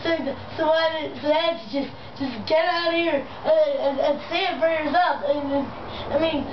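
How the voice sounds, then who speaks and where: A young woman hosting a homemade puppet show, improvising in a halting, rambling, enthusiastic way. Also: high voice, steady tone. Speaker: amateur puppet show host. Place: room in a house. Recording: muffled, distant, noisy echoey home video.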